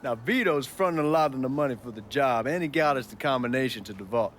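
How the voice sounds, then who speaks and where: An actor playing a jovial, cocky gangster sidekick in a video game, breezy, informal and teasing. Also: male voice, medium pitch, expressive, fast talker, fairly bright tone, slight background noise, natural voice. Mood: confident, casual. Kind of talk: cinematic video game dialogue.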